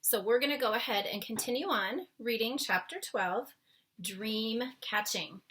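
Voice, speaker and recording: A woman reading a children's book aloud as narrator, with dramatic, expressive phrasing. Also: fairly high pitch, a little slow. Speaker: storybook reader.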